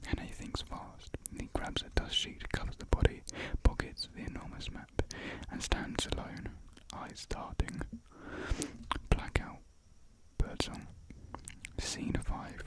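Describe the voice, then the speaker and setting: Soft whispered script narration. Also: low-quality recording. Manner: soft whisper, reads stage directions, laughs at own slips. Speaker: narrator reading a stage script. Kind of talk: ASMR whispered script reading.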